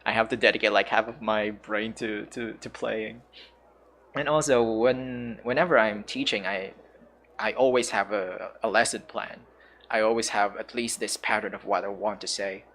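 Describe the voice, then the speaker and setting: A man's voice: fairly low pitch, steady tone, normal speed, a bit bright. A man with a Filipino accent giving casual game commentary as a streamer, hesitant and searching for words. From Philippines. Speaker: streamer and English teacher.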